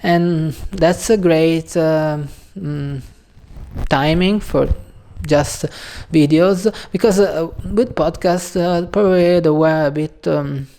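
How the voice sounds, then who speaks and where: Italian-accented woman host, slow, halting casual delivery, warm dark tone. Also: deep voice. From Italy. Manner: hesitant, halting, many pauses and fillers. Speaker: channel host. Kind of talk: casual video talk on a book.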